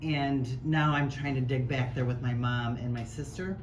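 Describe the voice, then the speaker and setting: Deep-voiced woman, earnest and plain, noisy room. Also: steady tone, moderate pace. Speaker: audience member. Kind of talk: audience introduction, casual. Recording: background noise.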